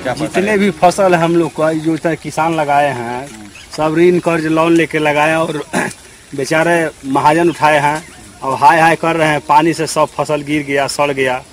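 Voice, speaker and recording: A man's voice: a little high, steady tone, unhurried. A man, a farmer, speaking plainly outdoors in an interview. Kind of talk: on-site interview.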